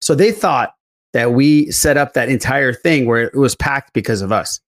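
A man's voice: medium pitch, normal speed. A man hosting a podcast, chatting casually and telling loose, rambling stories in a blunt everyday way. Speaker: podcast host. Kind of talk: casual group chat.

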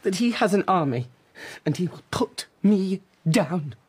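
A woman's voice: on the low side, expressive, measured pace, a little mellow, slightly rough, exaggerated voice. A woman playing a weak, frightened young king in a radio drama, hesitant and fretful in speech. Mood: panicky. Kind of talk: dramatic radio play acting.

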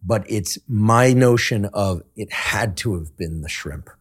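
Give me a male podcast host with a fairly low voice in a relaxed, thoughtful chat. He works through his ideas out loud, with the occasional restart. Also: measured pace, breathy edge. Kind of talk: casual conversation.

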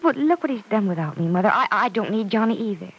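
Husky lovesick young woman, hesitant n earnest, old radio soap opera. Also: mid-range voice, animated, fast, low-quality recording. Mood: reflective. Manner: hesitant, earnest, repeats words. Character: earnest young woman, lovesick daughter confiding in her mother. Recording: vintage radio recording.

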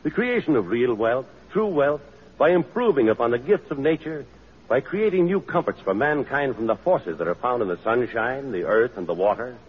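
A male announcer speaks with sweeping, emphatic intonation in an old radio style. His voice is husky and mid-pitched, on a dull vintage recording. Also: animated, normal speed. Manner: declamatory, emphatic delivery. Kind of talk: 1930s-40s radio announcing, scripted. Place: auditorium stage.

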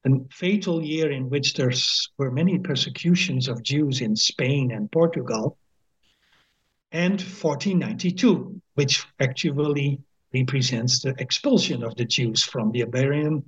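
An older Dutch-accented man speaks very slowly, in a husky voice that sits somewhat high for a man. He talks thoughtfully, pausing, restarting and filling gaps. The audio is dull and lo-fi. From Netherlands. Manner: thoughtful, hesitant, many fillers and restarts; slow, measured reading of translated poetry. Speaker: guest author, emeritus professor. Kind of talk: podcast interview.

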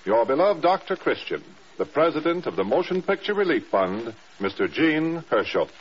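A man's voice: fairly high pitch, big pitch swings, normal speed, background noise. An American man announcing a 1950s radio program in a scripted, showy period style. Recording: muffled old radio broadcast recording. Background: music.

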